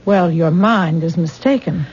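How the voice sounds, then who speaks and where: A woman's voice: lowish voice, measured pace, darkish tone, a little hiss or hum, natural voice. An actress playing a sensible, protective, no-nonsense woman with a dry, skeptical manner in a 1970s radio drama. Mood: firm, suspicious. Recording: muffled, dull old radio broadcast.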